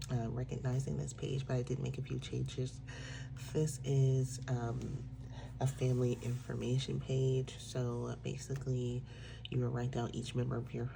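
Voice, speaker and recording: A woman walking through the pages of her own planner in a relaxed, casual way. She sounds a little nasal from congestion and fills her explanations with frequent ums. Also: rumbling low, creaky, noisy background. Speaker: planner shop owner. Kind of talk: casual product walkthrough.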